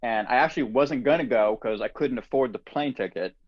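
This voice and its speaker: A man hosting a casual podcast conversation, telling relaxed stories with frequent laughs. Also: mid-range voice, brisk, no room sound, highs cut off. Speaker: podcast host.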